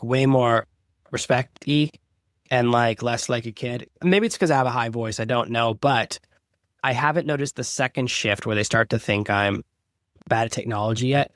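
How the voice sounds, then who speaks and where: A man's voice: medium pitch, normal speed, no echo, clean studio sound. A man in his forties hosting a podcast, chatting casually and making self-deprecating jokes. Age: 40s. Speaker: podcast host. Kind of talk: casual interview chat.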